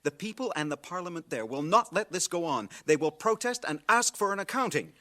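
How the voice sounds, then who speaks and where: Earnest crusading reporter, animated voice. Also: male voice, sits high, brisk, heavily acted. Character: idealistic foreign reporter named Carlos, indignant, earnest. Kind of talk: 1980 radio drama acting.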